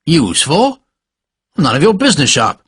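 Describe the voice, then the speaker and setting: A man voicing a short, pious older cavalry major who speaks gruffly and brusquely in clipped commands. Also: a little high, huge pitch swings, moderate pace, fairly bright tone, no room sound, clean studio sound, pitched above the performer's own voice, heavily acted. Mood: scornful amusement. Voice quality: gruff, brusque, barking laugh. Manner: clipped commands, fervent and impatient. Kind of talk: audiobook character voice.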